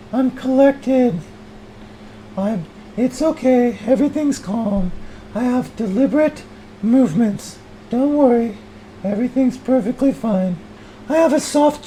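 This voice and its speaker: Man putting on a high, soothing yoga-woman voice. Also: a little hiss or hum, poor audio. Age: early thirties. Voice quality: soft, gentle tone. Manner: slow, deliberate, reassuring. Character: calm soothing young female yoga instructor, serenely mindful.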